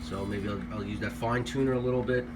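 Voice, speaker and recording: A man casually explaining how a guitar detuner works at his workbench, relaxed and offhand, with fillers. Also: fairly low pitch, fast talker, very noisy. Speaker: guitarist demonstrating gear. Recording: guitar playing under the voice.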